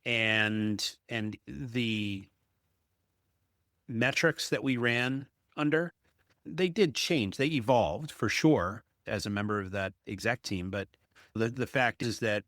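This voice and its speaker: A man with a fairly deep voice hosts a business podcast. He speaks conversationally and emphatically, telling things through anecdote and tagging points with a questioning "right?". The sound is very dry, with no room to it. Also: slow, a bit bright, dry recording. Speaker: podcast host, apartment investor.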